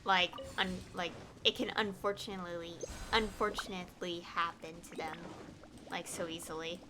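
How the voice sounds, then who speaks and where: A woman game streamer chatting casually on a livestream, rambling and telling stories in halting fragments. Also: fairly low pitch, steady tone, over music.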